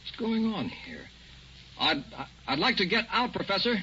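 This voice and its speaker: A man's voice: high voice, huge pitch swings, moderate pace, pushed and bright, full character voice. An actor playing a terrified, anguished man trapped in a coffin in an old-time radio drama. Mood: fearful muttering. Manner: anguished, muttering to himself then crying out. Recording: dull, noisy old radio recording.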